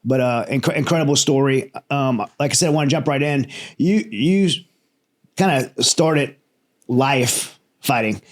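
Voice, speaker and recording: Energetic male host with an ordinary mid-range voice, welcoming a guest in a loose, chatty studio conversation. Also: mid-range voice, a little slow. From Louisiana. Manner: energetic, friendly, many fillers and restarts. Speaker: podcast host. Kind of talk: casual interview hosting. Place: home studio in Texas.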